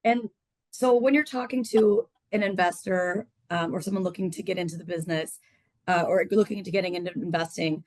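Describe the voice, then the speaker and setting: A woman's voice: lowish voice, steady tone, brisk, no room sound. A woman hosting an interview in a conversational style, putting questions to a guest. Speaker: host interviewer.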